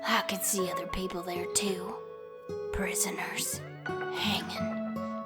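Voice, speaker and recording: A woman's voice: medium pitch, close to an everyday voice. A woman voicing a vengeful young village woman turned hag in a brooding dramatic horror monologue. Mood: haunted, grim. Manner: slow, brooding, swelling from hushed whispers to intense outbursts.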